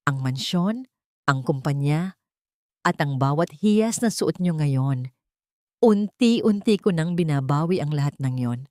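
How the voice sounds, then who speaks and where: A woman as a coldly calm young heiress. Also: dry recording, studio quality. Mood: icy whisper. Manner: calm, low, slow cold whisper. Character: young mother, calm, cold, newly powerful heiress.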